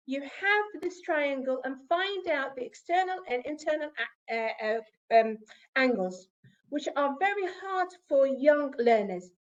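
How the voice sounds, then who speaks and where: A woman's voice: high voice, lo-fi sound. A woman whose first language is Arabic, giving an academic conference presentation in English and explaining with asides to the audience.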